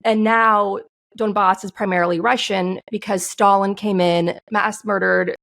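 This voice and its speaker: Passionate woman with a gravelly voice. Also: mid-range voice, fairly even intonation, fairly bright tone. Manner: passionate, outspoken, fast storytelling. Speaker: guest, screenwriter. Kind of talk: interview answers.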